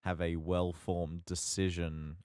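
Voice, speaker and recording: A man co-hosting a podcast, chatting casually in a halting, wordy, measured way. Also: low-pitched, flat delivery, a little mellow, smooth, no echo, studio quality. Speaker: podcast co-host.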